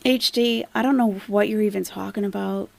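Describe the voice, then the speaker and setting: A woman's voice: mid-range voice, fairly even intonation, a little fast, gravelly, darkish tone. A woman hosting a casual live commentary stream, explaining things emphatically to viewers and asking rhetorical questions. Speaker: livestream host, YouTube commentator.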